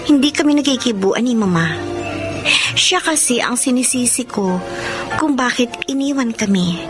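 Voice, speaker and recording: A woman's voice: fairly high pitch, animated, normal speed, over music. A woman narrating her own story in the first person for a radio drama, bitter and defiant in tone. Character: resentful young woman student, daughter. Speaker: narrator and lead actress.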